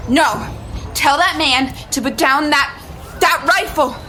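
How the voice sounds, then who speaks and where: An actress playing a hunted woman who tells her story as tense, deliberate first-person audio drama narration. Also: high-pitched, sharp tone, slight background noise, heavily acted. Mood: frightened, defiant.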